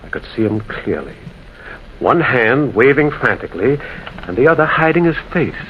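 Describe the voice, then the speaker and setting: A muffled, faintly noisy vintage radio recording of a man acting a concerned railwayman. He is dramatic and deliberate, with widely ranging pitch in his narration and urgent questions. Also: big pitch swings, natural voice. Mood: awed, grave. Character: concerned railwayman recounting a ghostly encounter. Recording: dull, hissy old radio recording.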